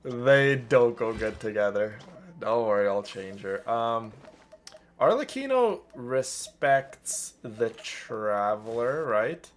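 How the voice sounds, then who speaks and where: A man's voice: medium pitch, animated, slow, a little hiss or hum. A man livestreaming a video game, chatting casually and exclaiming to his viewers as game music plays. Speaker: game streamer, host.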